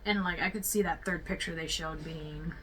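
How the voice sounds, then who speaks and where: Woman reacting casually, clearly noisy recording. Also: sits low, steady tone, normal speed, a bit bright. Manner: thinking aloud, rambling, speculative. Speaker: reaction video host. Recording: clearly audible background noise.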